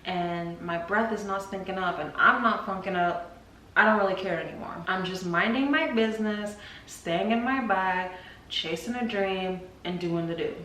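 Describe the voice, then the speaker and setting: A woman's voice: mid-range voice, normal speed. Woman in her twenties, casual chatty vlog, slangy, playful acted asides, distant roomy sound, faint noise. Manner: animated, dramatizing her own thoughts in little acted asides; informal, slangy, candid. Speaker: vlogger. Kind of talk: casual chit-chat to camera. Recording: roomy echo, off-mic, faint background noise.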